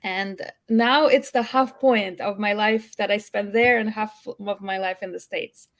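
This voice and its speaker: Russian-accented woman guest, gentle and soft-spoken, on a home video call. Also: medium pitch, steady tone, unhurried. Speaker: guest healer. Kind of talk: casual live-stream interview.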